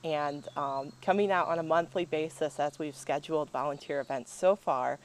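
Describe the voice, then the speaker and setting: A woman's voice: low voice, steady tone, moderate pace, slight background noise. A woman being interviewed, talking as a volunteer program coordinator. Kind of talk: on-camera interview.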